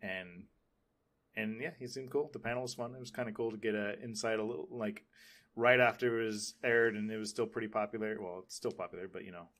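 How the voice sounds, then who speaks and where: A man's voice: on the low side, brisk, a bit bright. A man co-hosting a casual pop-culture podcast, talking like an enthusiastic fan with plenty of "like" and repeated phrases. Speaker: podcast co-host.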